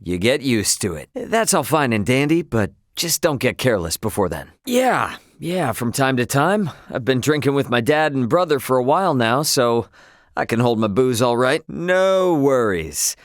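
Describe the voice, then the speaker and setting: A man's voice: medium pitch, big pitch swings, moderate pace, no room sound, lightly acted. A man voices a young male starship pilot for a video game, easygoing and a little cocky. His mid-range voice moves around with lots of expression, and he talks in loose, slangy phrases. The sound is dry and close. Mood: easygoing, friendly. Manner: breezy, slangy, informal delivery.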